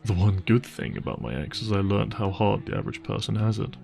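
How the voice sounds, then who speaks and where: Earnest, low-voiced boyfriend played by a man, gravelly. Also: a little fast, a little mellow, soft background music. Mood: regretful, pleading. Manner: earnest, tender, hesitant phrasing with sighs. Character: apologetic, devoted, secretly wealthy boyfriend on a dinner date. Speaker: voice actor. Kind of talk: intimate roleplay audio drama.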